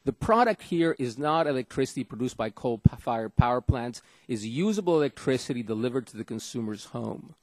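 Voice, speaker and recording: A man from Argentina giving formal testimony as an expert witness at a hearing, arguing point by point in a halting, deliberate way. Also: mid-range voice, animated, no echo. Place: legislative hearing room.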